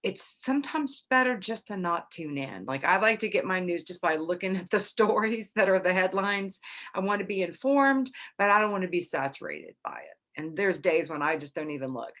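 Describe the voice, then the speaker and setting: A middle-aged woman hosting a casual astrology talk to camera, a little congested after being ill, chatty and relaxed with soft laughs. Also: lowish voice. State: slightly congested after illness. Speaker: psychic astrology host.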